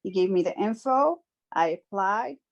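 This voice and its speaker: A woman from Morocco, speaking as a guest in a conversational interview. She talks earnestly and reflectively, often pausing and restarting her sentences. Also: mid-range voice, animated, creaky, muffled recording. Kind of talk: conversational personal testimony.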